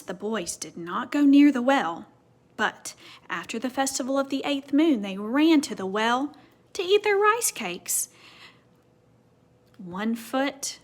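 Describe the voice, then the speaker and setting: Female children's storyteller, high swooping voice, teacherly read-aloud with questions and hushed asides. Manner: teacherly, prompting with questions, dropping to hushed tones. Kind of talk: animated read-aloud with asides to young listeners.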